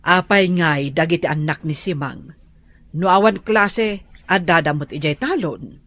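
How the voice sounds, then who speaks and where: A woman's voice: on the low side, a little slow, a bit bright. An actress playing a concerned older village woman who questions what she hears. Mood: doubtful. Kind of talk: radio drama acting.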